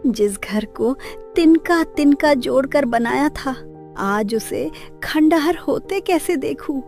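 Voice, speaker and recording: A woman voicing a grieving old village woman in a cartoon story, lamenting with a creaky voice. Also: high-pitched, lively intonation, takes it slowly, a little mellow, soft background music, exaggerated voice. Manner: lamenting, wailing. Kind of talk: animated moral story dialogue.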